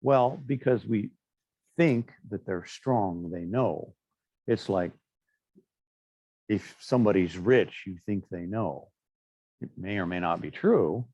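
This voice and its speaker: A man's voice: sits low, takes it slowly, pauses often, mellow, husky, no room sound, lo-fi sound. A middle-aged man teaching a class in a chatty, anecdotal way, with frequent fillers and asides. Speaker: instructor. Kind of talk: classroom lecture.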